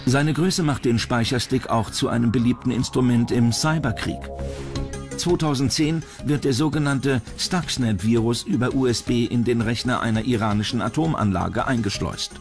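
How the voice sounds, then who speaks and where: A man's voice: medium pitch, moderate pace. Male documentary narrator over music.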